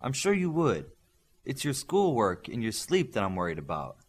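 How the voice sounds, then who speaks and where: A man's voice: medium pitch, wildly swooping pitch, normal speed, husky. A man reading an audiobook as a stern male combat coach, firm, blunt and demanding. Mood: skeptical, stern. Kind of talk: audiobook character dialogue.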